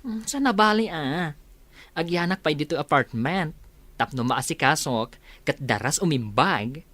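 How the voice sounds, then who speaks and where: A woman's voice: deep voice, expressive, measured pace, fairly bright tone, dry recording, heavily acted. A woman playing a caring, practical sister in a radio drama, with a coaxing, sometimes hesitant manner. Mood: gentle, helpful. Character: caring, practical woman looking after her injured brother. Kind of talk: Filipino radio drama acting.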